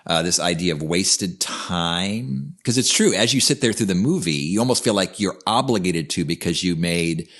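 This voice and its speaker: A man's voice: sits low, lively intonation, moderate pace, few pauses, a bit bright. A man in his fifties co-hosting a podcast, talking in an earnest, reflective way in casual conversation. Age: 50s. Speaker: podcast co-host, coach.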